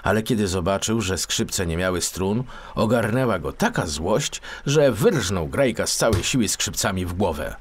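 A man reading a children's story as a scripted audiobook, expressive and dramatic. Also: sits low, normal speed, hardly any pauses, a little hiss or hum. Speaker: audiobook narrator.

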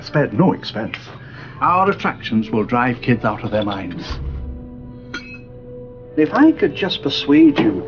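An actor playing an exuberant, proud older park founder, with a grand showman's manner. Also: fairly low pitch. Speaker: park owner. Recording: dull game audio under loud music.

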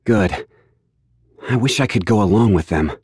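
Earnest, husky young guard. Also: male voice, fairly low pitch, lively intonation, moderate pace, darkish tone, no room sound, studio quality. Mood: eager. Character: earnest young guard, innkeeper's dutiful son. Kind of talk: video game voice acting.

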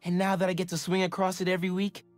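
An actor playing a sincere, enthusiastic teenage superhero in a video game, speaking casually. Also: male voice, sits high, monotone, very fast, faint music behind, close to an everyday voice. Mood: fond, admiring. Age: young adult. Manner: casual, earnest.

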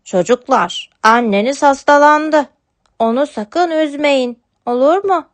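A woman voicing a kindly, caring father cat in a storybook, gently addressing children. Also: high-pitched, lively intonation, takes it slowly, pitched above the performer's own voice. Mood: concerned.

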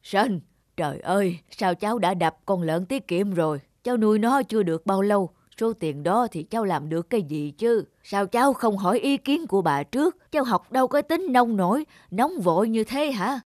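A woman's voice: medium pitch, lively intonation, normal speed, a little mellow, no room sound, clean studio sound, lightly acted. A woman voicing a stern but loving elderly grandmother in a cartoon. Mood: shocked, scolding. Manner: scolding, then tender. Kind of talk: cartoon voice acting. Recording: music bed under the voice.

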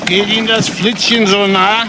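Casting: man playing haughty older patriarch, moralizing and affronted, raised pitch, radio crime drama, noisy recording. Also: flat delivery, normal speed, lightly acted. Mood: pompous, insinuating. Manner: pompous, disdainful. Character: indignant, moralizing older father guarding family honour. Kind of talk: German crime radio play acting.

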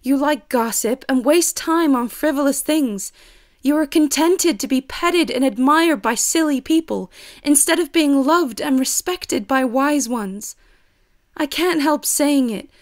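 An actress playing a proud, outspoken, ambitious young lady in an audio drama. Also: high-pitched. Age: young adult.

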